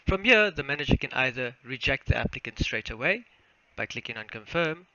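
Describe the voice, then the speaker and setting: Creaky-voiced young South African man, muffled mic. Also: medium pitch, sing-song, fairly quick, sharp tone, no room sound. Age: young adult. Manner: formal, step-by-step explaining. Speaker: student software developer presenting a demo. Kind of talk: narrated software walkthrough. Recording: muffled lo-fi mic, faint noise, clicks.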